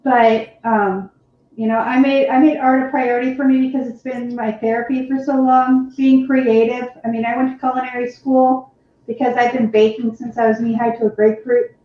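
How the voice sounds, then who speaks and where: A woman's voice: a little high, little pitch movement, normal speed. Rambling woman painting in an echoey room. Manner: rambling, chatty, frequent laughs. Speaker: co-host, painting on stream. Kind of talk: live-stream chat while painting. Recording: very echoey, dull lo-fi sound.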